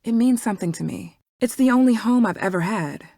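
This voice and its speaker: An actress playing a guarded, defensive young herbalist shopkeeper in a video game, curt and suspicious in manner. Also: female voice, on the high side, animated, brisk, vocal fry, mellow, dry recording, studio quality. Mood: upset, pleading.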